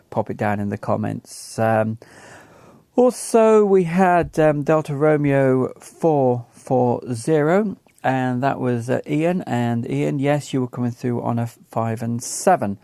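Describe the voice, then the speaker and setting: A man with an English accent giving a casual, halting rundown as a radio net host, searching for words as he goes. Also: mid-range voice, creaky, dark tone, dry recording, clean studio sound. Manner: halting, searching for words while reading notes.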